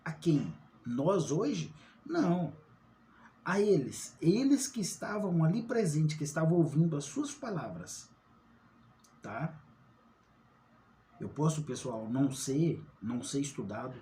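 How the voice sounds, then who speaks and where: A man's voice: on the high side, big pitch swings, slow, long pauses, warm tone, a little hiss or hum. A man giving an informal Bible study, often asking rhetorical questions and checking in with his listeners. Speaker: online Bible teacher.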